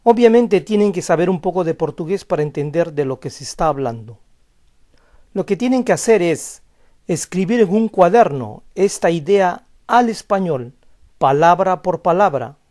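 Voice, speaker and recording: A young man giving a scripted language lesson as a voice-over, calm and explanatory, reading example phrases slowly. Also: high voice. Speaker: language teacher.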